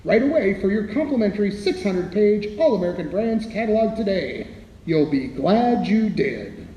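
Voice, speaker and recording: A jolly, wholesome fifties dad, acted by a man in an old radio commercial, high-pitched and animated. He sells with folksy cheer in an echoey room. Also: normal speed. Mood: cheery. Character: jolly wholesome 1950s father pitching products. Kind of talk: vintage radio commercial acting. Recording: roomy echo, faint noise.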